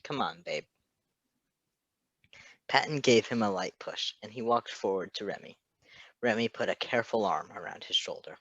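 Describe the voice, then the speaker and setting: A man's voice: fairly low pitch, a little fast, a bit bright, dry recording, dull audio. Man narrating a story, dry close sound. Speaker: fan fiction reader.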